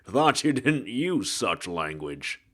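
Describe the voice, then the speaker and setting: A hard-boiled dead private eye , played by a male reader, speaks in a low, slangy drawl. He is self-assured and sardonic, needling his listener in 1940s detective style, with big swings in his delivery for a mystery audiobook. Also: big pitch swings, takes it slowly, a bit bright, exaggerated voice. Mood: amused, commanding.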